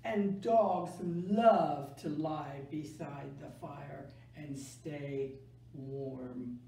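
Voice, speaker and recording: Slow older woman reading, echoey room. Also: deep voice, warm tone. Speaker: library story time reader. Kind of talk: picture book read-aloud for children. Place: small indoor room, seated. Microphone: camera a few metres away. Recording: echoey room, distant mic, faint noise.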